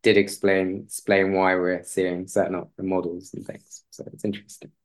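A man's voice: low voice, level tone, moderate pace. A man chatting casually as an interview guest, in an informal, tentative, loosely phrased way. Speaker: podcast guest, playwright. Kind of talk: casual chat.

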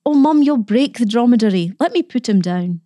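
A woman voicing an excitable, stubborn young boy in a storybook, exclaiming and protesting eagerly. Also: fairly low pitch, normal speed, no room sound, clean studio sound. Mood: wailing protest.

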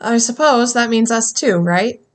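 An actress playing a wry, jaded government enforcer in an audio drama, sardonic and casual. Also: female voice, on the high side, lively intonation, a little slow. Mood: weary, wry. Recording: clear background noise, ambience.

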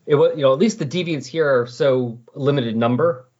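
A man talking casually and loosely on a comics podcast, thinking aloud as he explains, with a slightly husky voice. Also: medium pitch, brisk, a little mellow. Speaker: podcast co-host discussing comics. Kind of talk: casual, rambling comics discussion. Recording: dull lo-fi voice recording.

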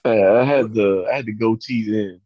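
A man in casual chat, halting and full of fillers. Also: fairly low pitch. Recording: dull, muffled lo-fi audio.

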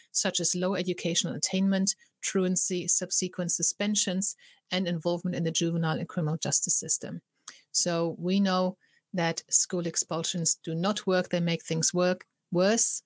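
German-accented woman doing her weekly video roundup, lowish voice, chatty, keeps restarting, dry close sound. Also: dry recording. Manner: conversational, restarts sentences, asks viewers for opinions. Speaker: host of a weekly research roundup. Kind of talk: casual solo video talk.

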